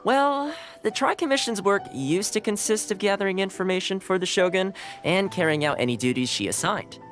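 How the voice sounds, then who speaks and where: A man's voice: high-pitched, lively intonation, a little fast, a bit bright, soft background music. A man voicing a friendly, diplomatic young retainer in a video game, with an easygoing, explanatory manner. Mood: explanatory, concerned. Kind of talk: English video game voice acting.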